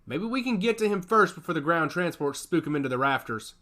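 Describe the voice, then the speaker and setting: A man's voice: a little high, lively intonation, brisk, sharp tone. A man voicing a brash, cocky augmented fighter who talks with swagger and defiance. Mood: brisk. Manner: confident, defiant.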